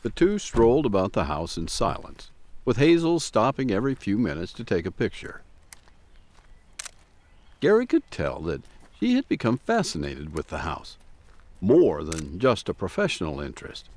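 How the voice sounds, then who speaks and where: Casting: male story narrator, fairly low voice, hugely varied intonation, scripted dramatic read. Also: wildly swooping pitch, unhurried, long pauses, darkish tone.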